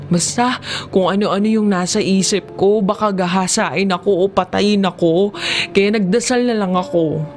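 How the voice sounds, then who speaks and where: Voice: medium pitch, normal speed. A narrator with a Filipino accent reading a scripted first-person drama story, audiobook style. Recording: music behind the voice. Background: background music.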